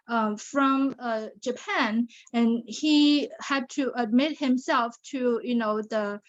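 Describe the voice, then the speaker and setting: Earnest Chinese-accented woman, fairly high voice, interview guest, dull lo-fi sound. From China. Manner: earnest, many fillers and restarts. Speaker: guest, survivor advocate. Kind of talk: interview on a video show.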